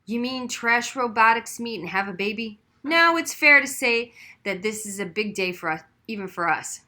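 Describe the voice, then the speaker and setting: A woman's voice: on the high side, lively intonation, normal speed, sharp tone. A woman voicing a tired, glum but fair male teacher in a storybook read aloud. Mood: wry, exhausted.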